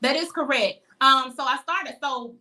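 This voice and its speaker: A woman in her thirties with a high, youthful voice, chatting relaxed in an interview about her singing. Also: moderate pace. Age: 30s. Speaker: interviewed singer. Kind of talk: casual interview answers.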